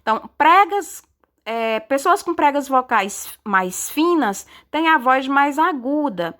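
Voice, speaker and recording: A woman's voice: sits high, big pitch swings, a bit bright. A woman teaching a science lesson, explaining step by step and often checking in with her students. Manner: explains slowly with frequent checking tags and hesitations. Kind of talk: recorded online class lesson.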